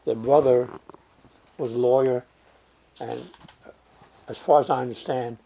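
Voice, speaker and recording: Slow gravelly-voiced older man recalling. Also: mid-range voice, steady tone, warm tone. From Germany. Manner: slow, reflective, with many pauses and fillers. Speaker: interviewee. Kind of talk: oral history interview.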